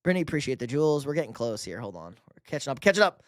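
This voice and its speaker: A man's voice: medium pitch, big pitch swings, very fast, no room sound, studio quality. A young man hosting a live sports stream, reading chat questions and bantering loosely with casual profanity. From New York. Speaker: livestream host reading chat.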